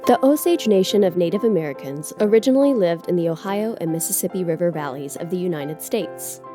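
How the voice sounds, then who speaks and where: A woman reading a scripted story aloud as a narrator, measured and articulate. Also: lowish voice, lively intonation, over music. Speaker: guest story reader.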